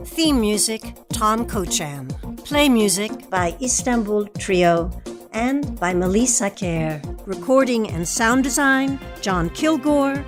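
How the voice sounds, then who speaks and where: A woman's voice: fairly high pitch, expressive, slow, music in the background. A woman hosting an arts interview podcast, asking questions and reading scripted lines. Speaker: host.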